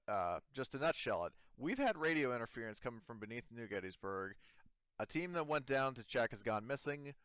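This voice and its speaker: A man voicing a brisk, plain-spoken woman who runs a frontier colony in a tabletop game. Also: mid-range voice, a bit bright, smooth, dry recording, lightly acted.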